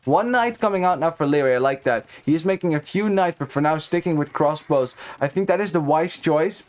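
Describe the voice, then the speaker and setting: A man's voice: on the high side, a little fast. A young Dutch man in his twenties casting a strategy game live, chatting with viewers and rambling with many restarts. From Netherlands. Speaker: esports caster. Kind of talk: live game commentary with chat banter.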